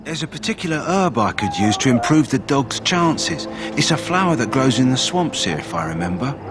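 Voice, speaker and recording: A man's voice: mid-range voice, big pitch swings, moderate pace, natural voice. A man playing an earnest, kindly kennel master in a video game, plain-spoken and concerned for his hounds. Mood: kindly, practical. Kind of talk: video game dialogue acting. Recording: game music and dog sounds behind.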